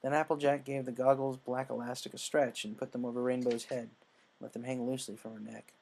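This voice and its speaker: A male reader narrates a written story. His voice sits at an average pitch, and he delivers the scripted prose as a storyteller. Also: little pitch movement, brisk, creaky, darkish tone. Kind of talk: scripted narration of fan fiction.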